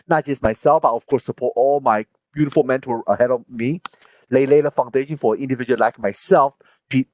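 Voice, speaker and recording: A man's voice: mid-range voice, a little mellow, no echo, rough recording. A man giving an emphatic campaign speech as a candidate, lifting his voice on key points. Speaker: political candidate. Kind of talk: campaign speech at online panel.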